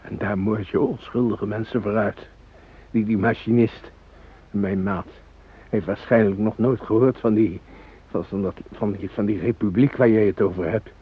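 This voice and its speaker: An actor in a radio drama playing an ordinary captive family man, shaken but protesting in halting, plain-spoken phrases. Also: fairly low pitch, steady tone, slightly rough. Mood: bewildered, indignant. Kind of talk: radio drama acting, naturalistic. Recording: dull lo-fi radio drama recording.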